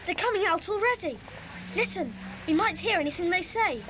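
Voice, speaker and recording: A woman playing an excitable, eager child detective in a children's audio drama. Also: extremely high pitch, fairly quick. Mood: hushed excitement. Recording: hissy old cassette recording.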